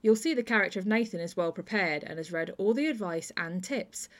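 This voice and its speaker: Woman, podcast host, conversational scripted introduction, mid-pitched voice. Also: expressive, a little fast, a bit bright.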